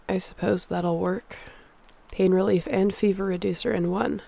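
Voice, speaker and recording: A woman's voice: mid-range voice, fairly even intonation, moderate pace, vocal fry, warm tone, slight background noise. A woman playing a sick, stubborn survivor of a zombie apocalypse in an audio roleplay, speaking in a weary, conversational way. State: feverish, under the weather.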